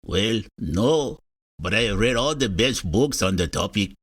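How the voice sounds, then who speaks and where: A woman voicing a polite, bookish male monster in a children's storybook, with a low, breathy, formal voice. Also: animated, measured pace, heavily acted. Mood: earnest. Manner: formal and mannered. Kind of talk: children's storybook read-aloud.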